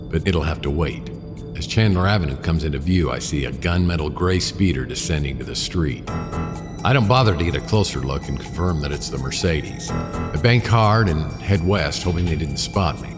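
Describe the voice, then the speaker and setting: A man's voice: very deep voice, in a large hall. An actor playing a wry, hard-boiled private investigator who narrates his case in a laconic noir radio drama style. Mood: wry, wary.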